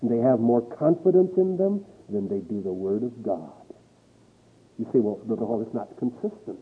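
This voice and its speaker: A husky-voiced man preaches with swelling emphasis, reading scripture between his points. The sound is thin and tinny, like an old recording over a phone line. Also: mid-range voice. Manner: emphatic preaching with rising and falling intensity, reading scripture aloud. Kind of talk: sermon.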